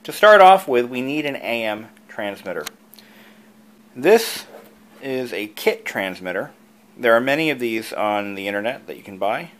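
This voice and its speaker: A man's voice: medium pitch, big pitch swings, normal speed, fairly bright tone. A man narrating a casual how-to video as its host, explaining things informally with asides. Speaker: video creator and host, antique radio hobbyist.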